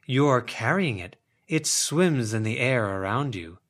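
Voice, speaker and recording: A man's voice: mid-range voice, animated, a little slow, a bit bright. Dreamy, theatrical eccentric young man, acted by a male reader. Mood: intense, rapturous. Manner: theatrical, intense, poetic. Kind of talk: audiobook character voice.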